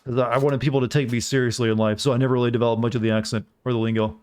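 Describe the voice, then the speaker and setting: A man's voice: medium pitch, flat delivery, rapid-fire, darkish tone, smooth. A man from the southern United States with an American accent, giving casual, rambling live gameplay commentary with jokey, self-deprecating asides to viewers. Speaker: game streamer.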